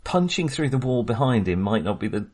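A man's voice: medium pitch, very animated, brisk, darkish tone. A man co-hosting a podcast, chatting about a film in a rambling way, often restarting and laughing as he talks. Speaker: podcast co-host. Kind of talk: casual film discussion.

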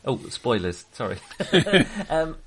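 A man chatting casually about films as a podcast co-host, in a halting, rambling manner. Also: mid-range voice, sing-song. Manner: halting, rambling, often chuckling. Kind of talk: casual film discussion.

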